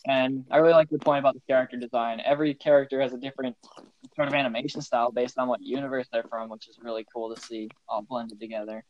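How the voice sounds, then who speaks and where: A man's voice: medium pitch, fairly even intonation, quick pace, a little mellow, muffled recording. Laid-back man co-hosting a podcast. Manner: laid-back, brief replies. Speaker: podcast co-host. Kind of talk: casual chat.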